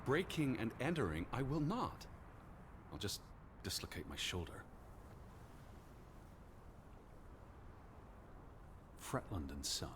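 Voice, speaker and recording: Low-voiced, bookish American scholar. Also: male voice, wildly swooping pitch. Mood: matter-of-fact, prim. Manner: proper, measured, earnest. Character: earnest, bookish, cautious American male scholar of the 1920s. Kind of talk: naturalistic video game voice acting. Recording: clear background ambience noise.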